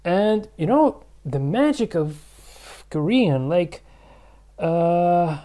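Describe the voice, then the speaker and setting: A man's voice: high voice, expressive, drawn-out words, dark tone. A man hosting his own channel, rambling and thinking aloud in a casual explanatory vlog, with many fillers. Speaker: channel host.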